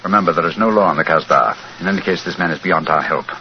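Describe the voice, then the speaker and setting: An actor in a 1940s radio drama playing a calm, commanding gentleman detective with a British accent and precise, formal speech. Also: deep voice, fast. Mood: brisk, grave. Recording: muffled, hissy old radio recording.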